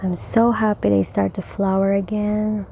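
A woman's voice: mid-range voice, little pitch movement, moderate pace, gravelly, mellow, a little hiss or hum, low-quality recording. A woman giving a cheerful, chatty tour of her backyard garden, talking to viewers while filming outdoors on a handheld phone. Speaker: home gardener. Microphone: handheld phone camera.

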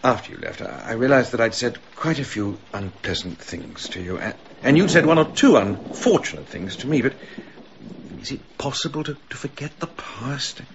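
An actor playing a wry, awkward English gentleman in a radio drama, polite and hesitant with dry wit. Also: mid-range voice, expressive, normal speed, dull audio, a little hiss or hum, close to an everyday voice. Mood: awkward, hopeful. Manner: dryly witty, hesitant, polite.

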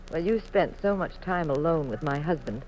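An actress playing a curious, jealous detective's wife in a 1950s radio mystery, with an arch, dry delivery. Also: female voice, deep voice, animated, normal speed, a little mellow. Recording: noisy vintage radio recording.

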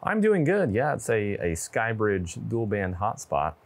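A man's voice: fairly low pitch, sing-song, a little slow. A man in his twenties giving a casual product demo and chatting on a handheld radio, recorded indoors on a lapel mic. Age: 20s. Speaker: presenter demonstrating ham radio gear. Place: indoor studio with wood-panel backdrop.

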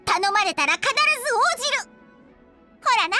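A woman's voice: very high voice, animated, moderate pace, bright, forceful tone, faint music behind, exaggerated voice. A woman voicing a small, proud, playful dragon girl healer for a video game, talking in an archaic, self-important old-timer's way with a child's voice. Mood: musing, chatty. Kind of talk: acted video game character voice lines.

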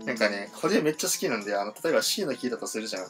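Guy chatting casually, talks fast, music going in the background. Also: fairly low pitch, expressive. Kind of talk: casual chat.

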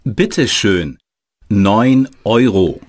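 A man plays an adult student who also announces each lesson in a language-course recording. His voice is very low and slightly breathy, and his delivery is slow and measured with lively intonation. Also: full character voice. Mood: plain. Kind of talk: slow scripted learner dialogue.

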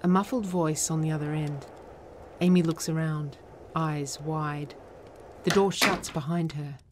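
A woman's voice: deep voice, fairly even intonation, a little slow, very noisy. A woman reading stage directions as scripted narration for a drama.

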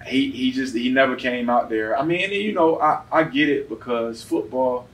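A man's voice: mid-range voice, normal speed. A man hosting a casual sports podcast, telling stories in slangy, swearing speech. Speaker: podcast host. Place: indoor gym, podcast set. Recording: noisy, distant mics in gym.

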